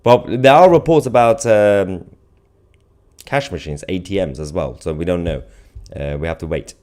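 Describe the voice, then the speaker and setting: A man's voice: low voice, moderate pace, a little mellow. A man hosting a live stream in an informal, off-the-cuff way, reading out viewer comments and giving casual commentary.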